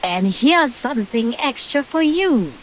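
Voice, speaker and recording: Lady doing a witch in a spooky comedy radio play, high voice, drags words out, dramatic, bit of hiss. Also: sing-song, low-quality recording. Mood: sardonic, coy. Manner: theatrical, drawn-out. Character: female witch, sardonic, melodramatic, doomed.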